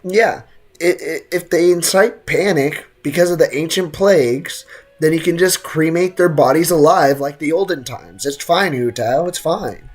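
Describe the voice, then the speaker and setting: A streamer commentating casually on a game in a medium-pitched voice. The talk is slangy and full of joking asides, moving between the game and the chat. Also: moderate pace.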